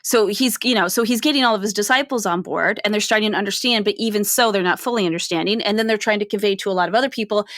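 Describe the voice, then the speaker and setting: Female co-host, medium pitch, dry studio-close sound, conversational and explanatory, tags points with "right?". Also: a bit bright, no echo. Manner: explanatory, often adds "right?" and "like". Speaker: podcast co-host. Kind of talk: casual film discussion.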